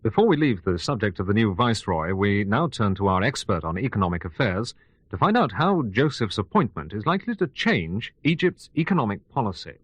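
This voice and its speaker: Male actor for news presenter in radio drama. Mid-pitched, measured and formal, with varied melody, very dry booth. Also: sing-song, husky, no echo. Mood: formal, serious. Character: male studio news anchor of an ancient-world bulletin. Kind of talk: scripted radio news reading.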